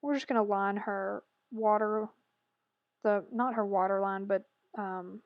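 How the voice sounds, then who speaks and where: A woman with a hoarse, raspy voice chatting through a makeup tutorial voice-over, rambling and correcting herself as she goes. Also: medium pitch, fairly even intonation, a little slow, dry recording, dull audio. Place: voice-over recorded separately from the video.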